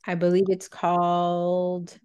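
Low-voiced woman chatting on a podcast. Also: monotone, slow, darkish tone, clear, resonant tone, muffled recording. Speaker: podcast co-host. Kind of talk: casual podcast chat.

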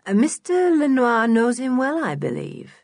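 A woman voicing a smooth, nosy, sinister man in a children's audiobook, measured and probing. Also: high voice, big pitch swings, darkish tone, dry recording, clean studio sound, pitched above the performer's own voice, heavily acted. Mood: slyly curious. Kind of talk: children's audiobook character acting.

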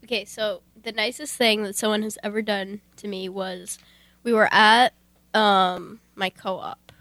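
A teenage girl with a medium-pitched voice shares an anecdote on youth radio. She talks casually and conversationally, recorded without any room echo. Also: fairly even intonation, unhurried, a bit bright. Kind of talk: casual personal storytelling.

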